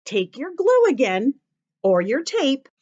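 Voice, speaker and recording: Children's story-time teacher, female. Lively, high-pitched, slow step-by-step delivery with encouraging questions. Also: dull audio. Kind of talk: instructional talk to young children.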